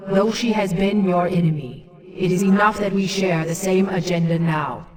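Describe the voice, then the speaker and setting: Stern alien elder ruler, formal, regal, echo effect, distant, video game voice. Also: female voice, fairly low pitch, steady tone, normal speed, crowd noise. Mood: solemn counsel. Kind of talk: scripted video game voice acting.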